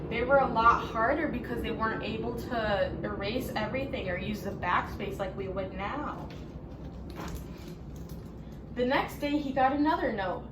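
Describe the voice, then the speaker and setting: A woman reading a children's story aloud, expressive and deliberate, stopping to prompt young listeners with questions. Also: fairly high pitch. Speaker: storybook reader leading children. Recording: roomy echo, faint background noise.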